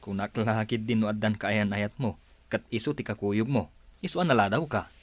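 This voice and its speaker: An actor playing a caring older brother who gently advises his younger sister in an Ilocano radio drama. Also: lowish voice, moderate pace, no room sound, close to an everyday voice. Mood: concerned.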